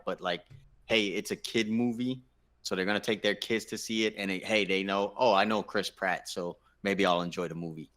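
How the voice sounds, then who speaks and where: A man with a low voice and a bright, punchy tone chats casually as a gaming show co-host. He leans on his points and repeats phrases for emphasis. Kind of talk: casual panel chat.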